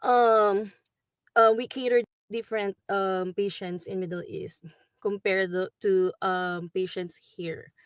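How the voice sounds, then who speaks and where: A woman's voice: mid-range voice, fairly even intonation, slow, smooth, no room sound. Woman in her 40s, Filipino accent, hesitant recorded video message, dry sound. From Philippines. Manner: hesitant, many ums and likes. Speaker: travel nurse.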